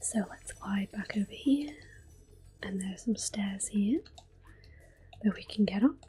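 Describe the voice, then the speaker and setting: A woman hosting a relaxing game playthrough, talking mostly in a gentle, unhurried whisper with small exclamations here and there. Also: mid-range voice, slight background noise. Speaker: gaming ASMR host. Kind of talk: soft whispered game commentary.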